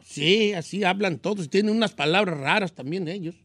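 A man's voice: high voice, normal speed, fairly bright tone, no echo. A Mexican man hosting a radio show, chatting casually and joking about accents. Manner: jokey, imitates accents playfully. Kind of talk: casual studio banter.

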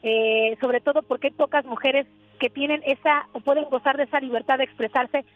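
A woman's voice: sits high, fairly even intonation, a little fast. A young woman from Sonora, Mexico, a journalist, talking earnestly on a radio call-in show with frequent fillers. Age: young adult. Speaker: journalist guest. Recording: tinny phone line.

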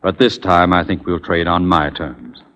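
An actor playing a cunning Confederate officer in 1940s radio style, formal and smoothly menacing. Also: male voice, low-pitched, moderate pace, fairly bright tone. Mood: coolly menacing. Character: cool, courteous, cunning Confederate spy officer. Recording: muffled, noisy 1940s radio recording with clicks.

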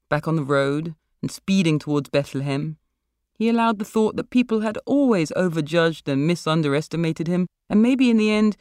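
A woman's voice: lowish voice, a little mellow, dry recording, clean studio sound. A woman author reading a short story aloud as literary narration.

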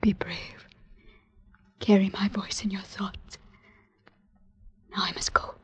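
An actress playing a gentle, devoted young woman who speaks softly and often whispers, in an old radio drama. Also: a little high, fairly even intonation, unhurried, slightly rough. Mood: tender whisper. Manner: soft, tender, often whispering. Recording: dry lo-fi old radio recording.

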